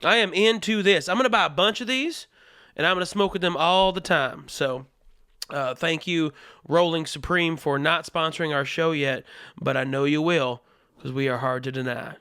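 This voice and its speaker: A man's voice: fairly high pitch, moderate pace, sharp tone, clear, resonant tone, no room sound, studio quality. A man hosting a comedy show in a put-on Southern US accent, talking casually in a crude, profane, joking monologue.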